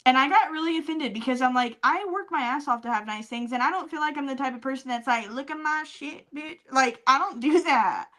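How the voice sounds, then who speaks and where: A woman's voice: sits high, brisk, few pauses, fairly bright tone. A woman co-hosting a podcast in a casual chat, speaking candidly and bluntly with slang and swearing. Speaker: podcast co-host.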